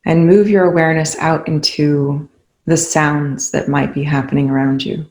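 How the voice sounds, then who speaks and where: Calm, even-toned meditation guide. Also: mid-range voice. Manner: calm, unhurried, soothing, with long pauses. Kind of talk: guided meditation, unscripted spoken instruction.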